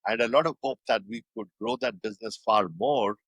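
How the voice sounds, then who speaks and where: An older man with an Indian English accent, giving measured, thoughtful interview answers with frequent fillers. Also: mid-range voice, gravelly, a bit bright, husky, dry recording. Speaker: guest.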